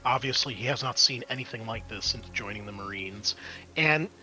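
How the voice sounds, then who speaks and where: A man's voice: medium pitch, normal speed, pushed and bright, faint music behind, rough recording. A man narrating as game master of a tabletop horror game, in a casual, sweary, descriptive way.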